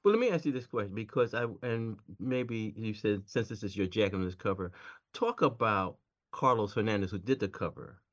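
A man's voice: lowish voice, lively intonation, a little fast. Laughing male interviewer, dull lo-fi sound. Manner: chatty, laughs a lot, rambling questions. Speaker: interview host. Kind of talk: casual interview conversation.

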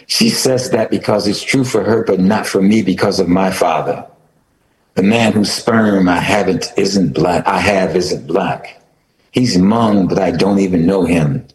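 A man reading aloud in the voice of a grieving sixteen-year-old narrator, somber and steady. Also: sits low. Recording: roomy echo, faint noise.

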